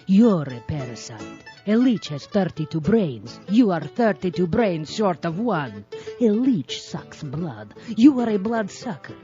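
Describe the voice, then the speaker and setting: Pompous mad-scientist horror host played by a man, slow theatrical delivery, dull sound over music. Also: high-pitched, big pitch swings, warm tone. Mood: sardonic. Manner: theatrical, sardonic. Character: pompous campy mad-scientist doctor hosting horror movies. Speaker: horror show host and narrator. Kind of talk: campy mock-horror narration.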